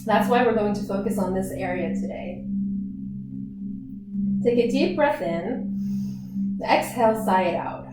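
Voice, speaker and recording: A woman teaching gentle yoga, giving calm, unhurried and soothing guided instructions. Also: medium pitch, over loud music. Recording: very echoey room, distant mic.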